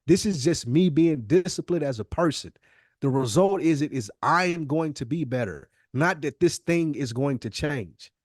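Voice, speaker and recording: A man's voice: a little high, animated, normal speed, no room sound, clean studio sound. A middle-aged American man talking casually and motivationally as a podcast co-host, emphatic and repeating phrases to drive points home.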